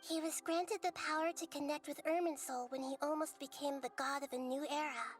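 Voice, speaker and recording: A woman voicing a gentle, wise young girl deity in a video game, speaking in a measured, articulate, earnest way. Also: high voice, fairly even intonation, soft background music, lightly acted. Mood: calm, explanatory. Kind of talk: scripted video game voice acting.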